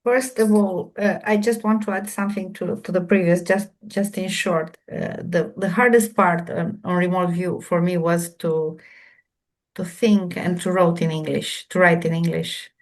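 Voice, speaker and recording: A woman with a Romanian accent, a non-native English speaker, answering questions on a panel in halting speech with many repeated words. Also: lowish voice, fairly even intonation. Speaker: remote viewer panelist. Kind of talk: casual Q&A discussion.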